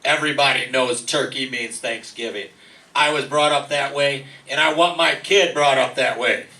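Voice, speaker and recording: Guy acting angry neighbor dad in a radio comedy, gruff n sharp, echoey off-mic. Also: medium pitch, normal speed, lightly acted. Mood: angry, indignant. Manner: gruff, confrontational. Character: angry, indignant male neighbor and father. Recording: echoey room, distant mic.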